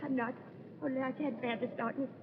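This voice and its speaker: An actress playing a jumpy, easily frightened young wife in an old radio drama. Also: female voice, high voice, steady tone, fairly quick, lightly acted. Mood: anxious. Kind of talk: 1940s radio acting. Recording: muffled, hissy old radio recording.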